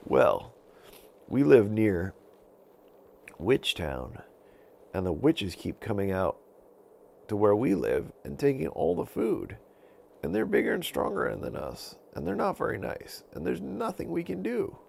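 A man's voice: medium pitch, wildly swooping pitch, unhurried, gravelly, long pauses, darkish tone, a little hiss or hum, pitched above the performer's own voice, heavily acted. A man voicing a polite, downtrodden hungry wolf in a children's story, earnest and pleading.

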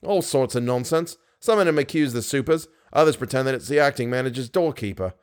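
A man's voice: medium pitch, animated, brisk, natural voice. A man voicing an irritable, stubborn head riding master in a story, dry and insistent.

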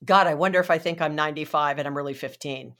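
Chatty host, loose rambling questions, laughs easily, mid-range pitch. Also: fast talker, fairly bright tone. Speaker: interview host. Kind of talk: conversational interview questions.

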